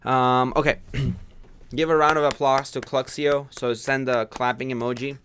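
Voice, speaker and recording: A man's voice: medium pitch, little pitch movement, a bit bright, a little hiss or hum. Chatty young man hosting a livestream. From Pakistan. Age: 20s. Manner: laughs often, quick and chatty. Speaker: programming livestream host. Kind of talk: casual livestream chat and coding tips.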